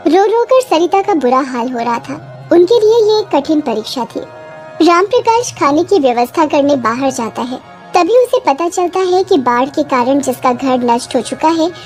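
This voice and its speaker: Female storyteller, high register, scripted dramatic narration over a music bed. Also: darkish tone.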